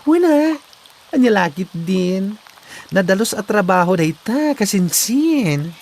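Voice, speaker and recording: Man playing a lively, genial Ilocano cousin. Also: sits high, takes it slowly, exaggerated voice. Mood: encouraging. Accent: Ilocano Filipino. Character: genial, encouraging city-dwelling cousin. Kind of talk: amateur comedy drama acting.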